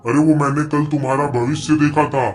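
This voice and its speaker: A man's voice: a little high, flat delivery, fairly quick, soft background music, close to an everyday voice. Man playing sly, greedy village schemer. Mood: falsely friendly. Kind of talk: Hindi cartoon story dubbing.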